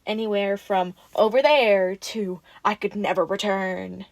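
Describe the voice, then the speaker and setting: A woman acting in an audio roleplay as a friendly, excitable young woman who rambles casually and gets playfully defensive. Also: mid-range voice, measured pace, no echo, close to an everyday voice. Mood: teasing, friendly.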